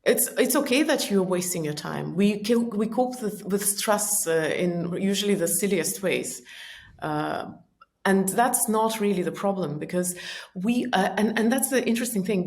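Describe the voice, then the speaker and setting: A woman whose native tongues are Estonian and Russian talks in English as a guest on a conversational podcast. Her voice sits at a middle pitch for a woman, and she explains her ideas in an earnest, reflective, coaching way. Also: normal speed, darkish tone.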